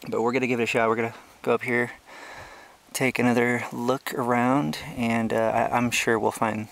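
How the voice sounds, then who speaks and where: A young man casually narrating a hiking vlog outdoors on a trail, explaining things in a relaxed, chatty way with many fillers, recorded on the handheld camera he films with. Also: medium pitch, normal speed, gravelly. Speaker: ant-keeping channel host. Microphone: handheld camera he is filming with.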